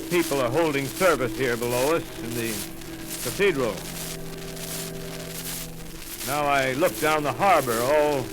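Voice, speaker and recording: An actor playing a male radio announcer on a rooftop, reporting as an eyewitness in halting, breathless bursts in 1930s radio drama style. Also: a little high, close to an everyday voice, poor audio. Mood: grave. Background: warning bells ringing.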